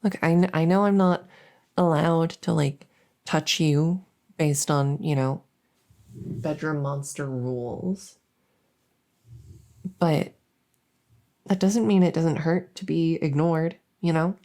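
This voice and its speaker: A woman playing a needy, exasperated but harmless female monster under the bed, rambling and stammering softly, often in whispers, in an ASMR audio roleplay. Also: sits low, steady tone, slow pace, long pauses. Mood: exasperated, pleading. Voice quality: soft, often breaking into whispers. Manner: rambling, stammering, pleading, silly.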